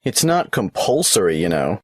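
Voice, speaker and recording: A man's voice: sits low, sing-song, moderate pace, no room sound, clean studio sound. A man playing a tax office clerk in a scripted exam dialogue, patiently asking questions and explaining procedures. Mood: businesslike.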